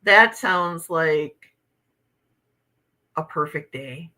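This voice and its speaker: Bright-voiced chatty woman in her fifties. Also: sits low, lively intonation, drawn-out words. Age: 50s. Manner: chatty, laughs often, reads comments aloud. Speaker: livestream host. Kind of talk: casual livestream chat reading viewer comments.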